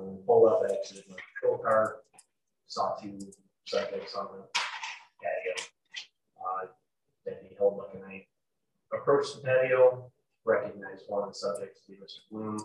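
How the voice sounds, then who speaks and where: A very echoey, distant recording of a young man testifying in court. His voice is low and stays flat, with a halting, procedural delivery of an officer on the witness stand. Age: young adult. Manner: halting, matter-of-fact, procedural. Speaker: sheriff's deputy testifying as witness. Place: courtroom, heard over video call. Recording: echoey courtroom, distant mic, faint noise.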